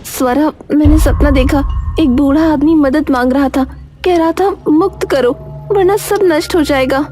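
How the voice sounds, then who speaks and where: A woman's voice: sits high, moderate pace, music in the background, natural voice. A woman voicing a curious, determined young girl in a cartoon story, speaking earnestly. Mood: uneasy. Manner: earnest, questioning storybook dialogue. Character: curious, determined young village-visiting girls.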